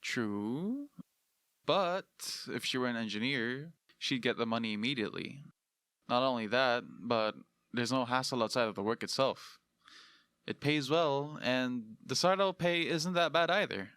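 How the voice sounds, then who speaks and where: A pragmatic, understated father with a mid-pitched voice, acted by a man. He sounds detached, in a dry recording made for an animated drama. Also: unhurried, smooth, no echo. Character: practical, detached father favoring sensible careers. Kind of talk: scripted animated drama dialogue.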